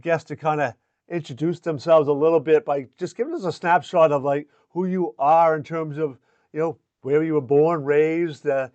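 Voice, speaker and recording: An older man speaking as a show host and coach, with an earnest, deliberate way of talking. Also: on the high side, darkish tone, dry recording, lo-fi sound. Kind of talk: scripted promo and introduction, then casual interviewing.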